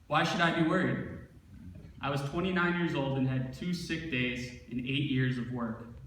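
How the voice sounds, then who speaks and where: A man's voice: mid-range voice, fairly bright tone. A man giving a prepared speech read aloud at an award ceremony. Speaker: speaker reading his brother's acceptance speech. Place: large echoing event room at an award ceremony. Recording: very echoey, far from mic, faint noise.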